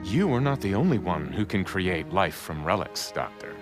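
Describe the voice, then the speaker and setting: An actor playing a dignified, commanding old monk leader in an animated adventure game, solemn and formal. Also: male voice, deep voice, moderate pace. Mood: grave. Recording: loud background music.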